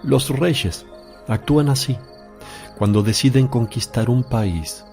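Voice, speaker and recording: Slow, solemn Jesus played by a man. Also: mid-range voice, a little mellow, faint music behind. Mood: solemn, declamatory. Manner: solemn, deliberate, measured. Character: Jesus, calm authoritative teacher addressing disciples. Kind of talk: solemn religious radio drama acting.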